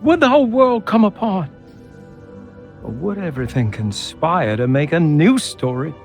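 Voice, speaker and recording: A man's voice: fairly high pitch, big pitch swings, moderate pace, faint music behind. An actor playing a haunted, guilt-ridden man, despairing and cryptic, in a brooding theatrical video game cutscene style. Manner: theatrical, brooding monologue delivery.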